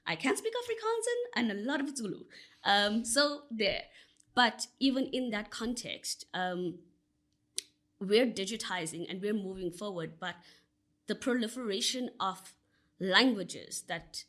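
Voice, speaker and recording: A woman's voice: medium pitch, animated, unhurried, a bit bright. A South African woman answering as a podcast guest, earnest and expansive, with frequent "you know" fillers. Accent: South African English. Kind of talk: conversational interview answer.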